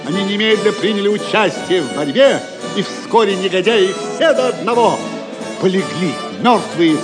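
A man tells the story as the narrator of an audio drama. His voice is high and very animated, dramatic in its scripted delivery, with music playing clearly behind it. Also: moderate pace. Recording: music under the voice.

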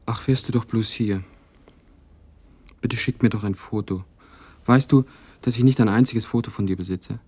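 An actor playing a lonely, brooding writer voicing a letter in a radio play, intimate and reflective, with a husky voice. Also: male voice, on the low side, normal speed, long pauses, dry recording. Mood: wistful, reflective. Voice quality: husky, gravelly, mellow.

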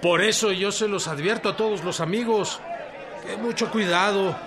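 An actor playing a rough, jocular rural revolutionary leader with a Mexican accent, talking in a blustery, colloquial way in a radio drama. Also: male voice, high-pitched, normal speed. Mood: wary, gruff. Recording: loud crowd, outdoor ambience. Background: crowd.

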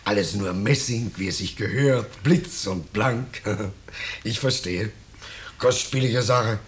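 An actor playing an ingratiating vagrant in a 1950s German radio play, speaking with unctuous false modesty and quiet menace. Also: male voice, on the low side, takes it slowly, husky. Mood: insinuating. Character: ingratiating vagrant stranger, slyly menacing, mock-humble. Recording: noisy 1950s radio drama recording.

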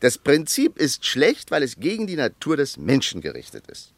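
An actor playing an argumentative, ironic traveller in a German radio play, lecturing emphatically and skeptical of ideals. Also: male voice, mid-range voice, huge pitch swings, normal speed, no echo.

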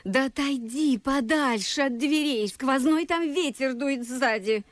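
A woman's voice: high voice, unhurried, no echo. A woman playing a coy, fussing young society wife with an affected cooing manner in a classical radio play. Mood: cooing nagging. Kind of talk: classical verse radio drama acting.

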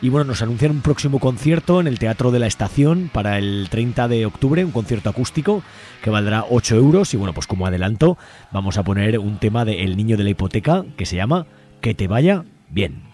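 A male radio host talks quickly in a mellow, relaxed voice. His presenting is informal and conversational, peppered with little tag questions. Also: fairly low pitch.

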